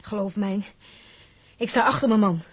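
An actress playing a feisty, proud working-class wife in a radio drama, fiercely loyal, blunt and sardonic. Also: female voice, mid-range voice, normal speed, husky, lightly acted. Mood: firm, earnest.

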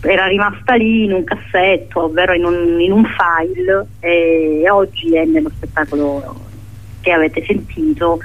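Woman on a thin phone line, halting. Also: fairly low pitch. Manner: hesitant, searching for words, repeating herself. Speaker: playwright being interviewed. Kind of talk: reflective interview conversation. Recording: narrow phone-line sound.

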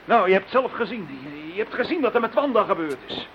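A man's voice: high voice, animated, a little fast. An actor playing an anxious, pessimistic man in a radio thriller, hesitant and repeating words under strain. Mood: worried, doubtful. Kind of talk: Dutch radio play acting. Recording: dull, hissy radio play recording.

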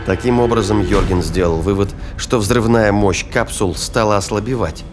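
A man's voice: fairly low pitch, normal speed, over music. A man reading scripted narration for a science fiction audio drama.

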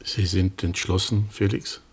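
Tired-of-it-all family doctor in radio drama, really low voice, husky, formal, holds everything back. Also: male voice, flat delivery, a little slow, a little mellow, heavily acted. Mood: wistful. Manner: restrained, evasive, formal. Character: resigned, guarded doctor, friend of the family. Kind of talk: German radio drama acting.

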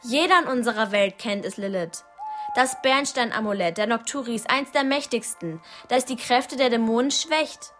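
An actress playing a chatty, excitable young girl in a radio play. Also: a little high, moderate pace, over music, natural voice. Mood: astonished.

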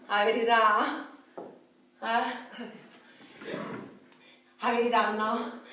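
A naive frustrated roman wife rambling in a radio play, really high voice, super echoey and far from the mic. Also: female voice, a bit bright. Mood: plaintive. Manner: colloquial, rambling, tags phrases with "no?" and "eh". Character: chatty, frustrated working-class Roman wife, naive and dreamy. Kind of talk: theatrical radio drama monologue in dialect. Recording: roomy, echoing, distant mic, faint noise.

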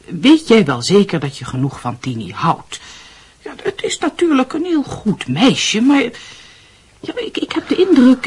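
An actress playing a meddling middle-aged aunt, breathy and hesitant, earnestly reproachful in a radio drama. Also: female voice, medium pitch, darkish tone, full character voice. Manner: hesitant, moralising, insinuating.

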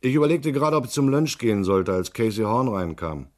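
An actor playing a weary, hard-boiled private detective, narrating a radio play in a laconic, deadpan manner. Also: male voice, medium pitch, fairly quick, lightly acted.

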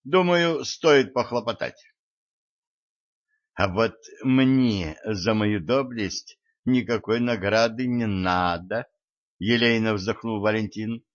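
A man's voice: lowish voice, takes it slowly. A man narrating an audiobook, reading the dialogue with small shifts for each character. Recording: dull lo-fi audio.

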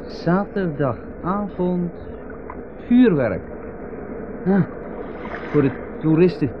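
An actor playing an anxious, fussy husband in a radio drama, speaking in fretful, hesitant short phrases. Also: male voice, high voice, lively intonation, extremely slow, full character voice. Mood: distracted. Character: anxious, fussy husband on a hot holiday trip. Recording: muffled, noisy 1970s radio recording.

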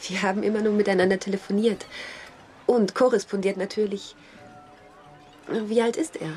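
Poised young woman, played by an actress, mid-pitched. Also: steady tone, normal speed, darkish tone, soft background music, natural voice. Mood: polite, formal. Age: young adult. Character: poised, courteous young woman, polite hostess. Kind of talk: radio drama acting.

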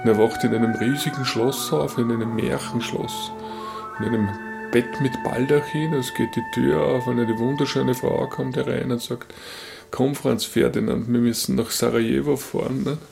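A man with an Austrian accent tells a joke casually in a gravelly, middle-pitched voice. He stumbles along, repeating words and checking in with short questions. Music plays clearly behind him. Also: steady tone. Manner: halting, repeats words, tags sentences with a questioning "nicht". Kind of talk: casual spoken joke-telling.

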